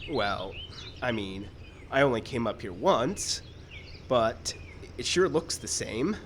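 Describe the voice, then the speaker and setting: A fussy complainy writer in a radio comedy, medium voice, suspicious n literal, birds and outside noise. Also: male voice, medium pitch, big pitch swings, takes it slowly. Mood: storytelling. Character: fussy, suspicious, aggrieved male screenwriter. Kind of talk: live old-time radio style comedy acting. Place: outdoor park stage. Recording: outdoor live show with background noise. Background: birds and outdoor ambience.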